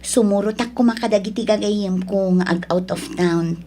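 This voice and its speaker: A woman's voice: mid-range voice, normal speed, mellow, natural voice. A woman with an Ilocano Filipino accent acting a young wife and daughter in an audio drama. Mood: coaxing, wifely.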